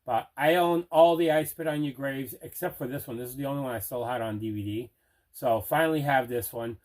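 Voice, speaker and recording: A middle-aged man casually showing items in an unboxing, deliberate and repeating himself. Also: medium pitch. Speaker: host of a movie collecting show. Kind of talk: casual unboxing show-and-tell.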